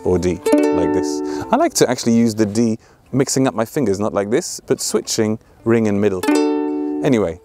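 A man's voice: on the low side, animated, moderate pace, over loud music. A man in his thirties teaching ukulele in a casual, playful, chatty way, outdoors in an open field. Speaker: ukulele tutor and video host. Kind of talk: casual music tutorial with sung examples. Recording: ukulele played alongside the voice. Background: ukulele strumming and plucking.

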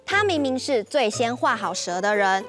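A woman's voice: on the high side, sing-song, normal speed, soft background music. A young woman with a Taiwanese accent hosting and narrating a story for small children. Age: young adult. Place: TV studio set.

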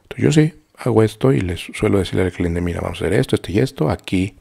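A man's voice: on the low side, lively intonation, fast, vocal fry, warm tone, breathy edge. A middle-aged man with a Mexican accent, teaching art on a live stream in a rambling, chatty way full of fillers and restarts, talking straight to his students. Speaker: art instructor. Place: small home studio.

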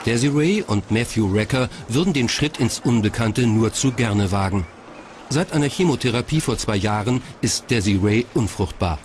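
A man's voice: mid-range voice, lively intonation, moderate pace. A man reading scripted documentary narration. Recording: clear background noise.

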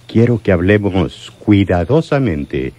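An actor playing a menacing, lovesick crime boss in a radio drama, speaking deliberately and bitterly. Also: male voice, deep voice, very animated, warm tone, heavily acted. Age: older. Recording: noisy old radio drama recording.

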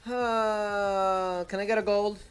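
A man's voice: sits high, flat delivery, slow pace, clear, resonant tone, soft background music. A young man with a Spanish accent, his first language Spanish, chatting casually with viewers during a live game stream and often repeating phrases. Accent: Spanish-speaking. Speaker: gaming streamer. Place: home room at a desk.

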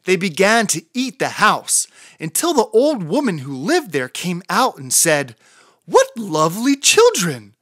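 A woman reading a children's storybook aloud in an expressive narrating style. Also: on the low side, unhurried, dry recording, clean studio sound.

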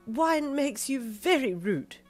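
A woman's voice: high voice, lively intonation, slow, faint music behind, voice pushed up, heavily acted. A woman voicing a petty, entitled woman diner who complains haughtily and draws out her words. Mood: offended.

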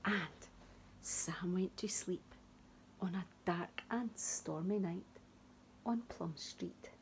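A woman in her thirties reading a picture book to young children expressively and deliberately, with dramatic pauses. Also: fairly low pitch, in a whisper. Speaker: early years teacher reading to children. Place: small room at home, indoors.

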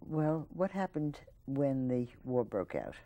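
A woman interviewer who asks short questions in a gentle way and keeps prompting with mm-hmms. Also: rumbling low, very animated, slow pace, narrow, tinny audio. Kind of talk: oral history interview questions.

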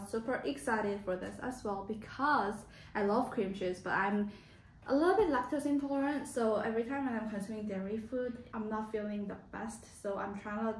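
A young adult woman vlogger chatting casually and informally to the camera in a home kitchen. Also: medium pitch. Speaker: lifestyle vlogger. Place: small home kitchen, indoors. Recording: echoey room, clear background noise.

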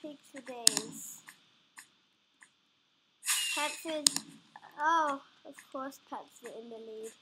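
A child gamer, sniffly from a runny nose, giving excitable casual commentary while playing. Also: female voice, high voice, drawn-out words, pauses often. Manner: excitable, squeals and groans at the game. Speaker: gameplay commentator. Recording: loud background noise.

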